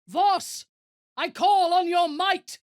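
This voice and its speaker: A woman's voice: very high-pitched, slow, no echo, studio quality. An actress voicing a female spellcaster who declaims incantations theatrically in a fantasy video game. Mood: fierce, incanting. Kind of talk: dramatic video game voice acting.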